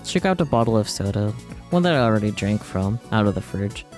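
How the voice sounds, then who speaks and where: Male game streamer, low voice, narrates story text and drops wry casual comments over prominent music. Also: lively intonation, fairly quick, a little mellow. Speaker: streamer playing a visual novel. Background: video game music.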